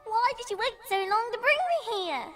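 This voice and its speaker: Squeaky, excitable little girl pony. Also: moderate pace. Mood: awestruck. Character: excited, eager young filly pony, female child. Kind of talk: dramatised fan fiction audio. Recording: music behind the voice.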